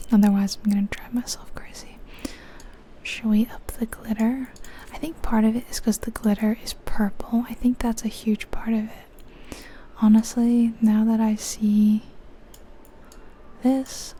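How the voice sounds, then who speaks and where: A woman's voice: a little high, warm tone. A woman whispering breathily in an ASMR game commentary, musing aloud and indecisive, close to the microphone. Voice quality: breathy, mostly unvoiced whisper. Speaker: ASMR video creator.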